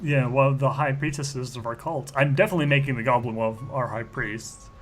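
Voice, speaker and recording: A man talks casually as a player in a tabletop game. He rambles and likes a theatrical phrase. His pitch is average, and faint music sits behind him. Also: brisk. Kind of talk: casual tabletop role-play chat. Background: background music.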